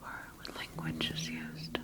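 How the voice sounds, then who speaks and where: A woman whispering an ASMR sci-fi roleplay as a calm, clinical scientist, breathy and deliberate in a reassuring way. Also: a bass voice. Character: calm, clinical secret military scientist examining her creation.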